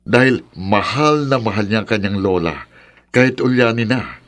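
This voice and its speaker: A man's voice: lowish voice, moderate pace, fairly bright tone, natural voice. A man playing a middle-aged father of grown daughters in a radio drama, concerned and sceptical, reasoning and questioning. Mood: pondering. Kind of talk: Filipino radio drama acting.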